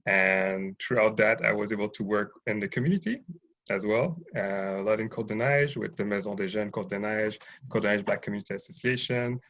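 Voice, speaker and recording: Man, gravelly fry, measured and hesitant, muffled call audio. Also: on the low side, fairly bright tone. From Haiti, raised in Montreal. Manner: thoughtful, hesitant with many fillers. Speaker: guest, urban farm owner. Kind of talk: interview over video call.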